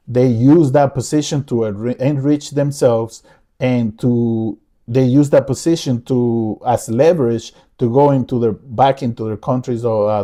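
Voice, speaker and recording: A man's voice: medium pitch, warm tone. A middle-aged man from the Dominican Republic giving his opinion in a casual vlog monologue, earnest and repetitive, often restarting his sentences. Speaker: vlogger.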